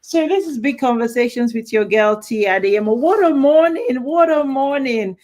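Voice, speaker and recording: A woman's voice: sits high, lively intonation, moderate pace, a little mellow. A woman with a Nigerian accent hosting a live talk show and interviewing guests in a casual, chatty way with fillers and restarts.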